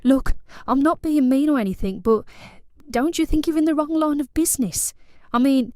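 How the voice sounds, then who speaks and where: A woman's voice: high voice, normal speed, darkish tone, no echo, clean studio sound, close to an everyday voice. An actress playing a bored, randy, blunt British suburban woman in an audio play, talking in a colloquial, cajoling way. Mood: exasperated.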